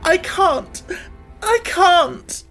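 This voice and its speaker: A woman acts out a sickly young boy in a storybook. The voice is high, choked and sobbing. He gasps his words in hysterical self-pity. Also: animated, extremely slow, creaky, fairly bright tone, soft background music, exaggerated voice. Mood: sobbing panic.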